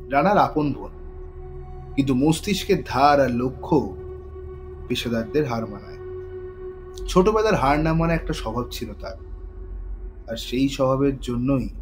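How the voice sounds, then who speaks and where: A man narrating a scripted thriller story in a dramatic style. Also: mid-range voice.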